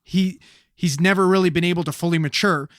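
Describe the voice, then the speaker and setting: A man's voice: high voice, fairly even intonation, a little fast, fairly bright tone, dry recording. A man co-hosting a podcast in a studio, explaining his point emphatically in casual, profane chat. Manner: emphatic, swears a lot, explains at length. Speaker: podcast co-host.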